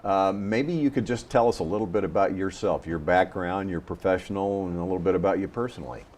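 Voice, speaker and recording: A man's voice: low-pitched, fast, a little mellow. A man hosting a television interview program, with a polished announcer's delivery as he introduces and questions a guest. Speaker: host.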